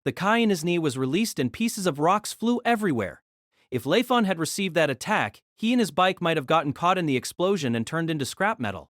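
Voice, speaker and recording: A man's voice: a little high, very animated, fairly quick, fairly bright tone, dry recording, studio quality. A man giving a dramatic scripted narration of an action fantasy story.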